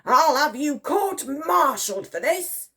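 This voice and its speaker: A woman's voice: fairly low pitch, very animated, moderate pace, darkish tone. A woman voicing a blustering older male Admiral in a storybook, commanding and huffy. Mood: raging. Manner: barking, huffy.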